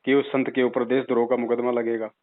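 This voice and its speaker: A man's voice: medium pitch, level tone, fairly quick. Insistent man on tinny phone line. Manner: insistent, admonishing, repeats points for emphasis. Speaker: religious adviser answering a follower's phone call. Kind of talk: persuasive phone conversation, religious counselling. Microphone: phone call. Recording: tinny, narrow phone-line call recording.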